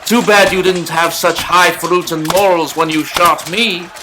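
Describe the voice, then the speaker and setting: A man's voice: high voice, steady tone, moderate pace, noisy background, lightly acted. A man acting a panicky, sarcastic, complaining character in an audio comedy drama, with a whiny, exclaiming delivery. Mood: exasperated. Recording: music behind the voice.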